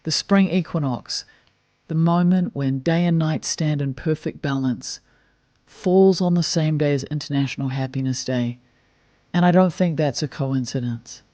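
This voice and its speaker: A man guides a meditation at an unhurried, very slow pace, his mellow voice soft and soothing as he counts breaths. The sound is close and dry, slightly muffled and dull. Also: a little high, no echo.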